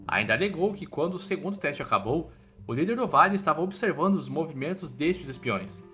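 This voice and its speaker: A man's voice: fairly high pitch, animated, fairly quick, pushed and bright, soft background music. A man with a Brazilian accent doing scripted story narration in an informal, playful way with slangy wording. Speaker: recap narrator.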